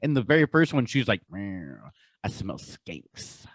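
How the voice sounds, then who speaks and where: A man's voice: lowish voice, big pitch swings, measured pace, no room sound, studio quality. A man co-hosting a podcast, chatting casually in an emphatic, gushing and informal way. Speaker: podcast co-host. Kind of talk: casual enthusiastic podcast chat.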